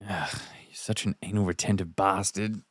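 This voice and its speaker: A dumped young man grumbles and sighs in a low, downbeat voice as a man acts him out in a table read. He sounds miserable and fed up, recorded dry and close. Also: steady tone, moderate pace, no echo. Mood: glum, subdued. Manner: sighing, downbeat. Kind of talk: table read of a film comedy scene played sad.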